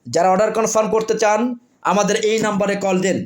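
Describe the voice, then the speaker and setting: A man with a Bangladeshi accent presenting products in a repetitive sales pitch. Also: steady tone, quick pace.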